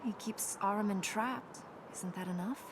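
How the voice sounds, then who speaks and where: An actress playing an earnest, melancholy young princess in a video game, speaking in a measured, wistful way. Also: female voice, medium pitch, noisy background, lightly acted. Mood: guarded. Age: young adult.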